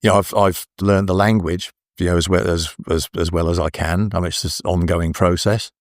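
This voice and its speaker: An older man with an English accent, talking as an interview guest in a relaxed, halting way full of restarts. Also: sits low, no room sound, clean studio sound. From England. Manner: hesitant, many restarts and fillers. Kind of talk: casual interview.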